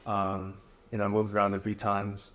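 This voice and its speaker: A young man from Korea talks through his answers in a loose, candid way, filling gaps with "like" and "you know". His voice sits low, and the recording carries a roomy echo. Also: moderate pace. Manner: candid, relaxed, many fillers like "you know" and "like". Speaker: reality show contestant. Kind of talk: casual interview answers.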